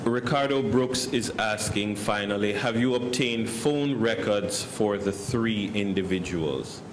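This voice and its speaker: A man moderating a press briefing, formally relaying journalists' questions in a measured, official manner. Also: lowish voice. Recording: noisy online press briefing audio.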